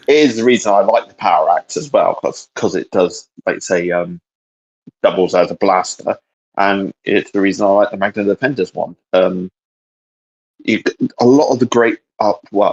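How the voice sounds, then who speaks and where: A man's voice: deep voice, slightly rough, highs cut off. A man co-hosting a casual, unscripted chat about geeky fan topics. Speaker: co-host of a fan discussion show.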